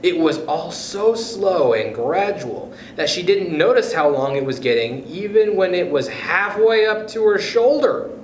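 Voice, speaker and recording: A man reading a children's story aloud in an expressive narrating style. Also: high-pitched, a little slow, hardly any pauses. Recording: echoey room with faint noise.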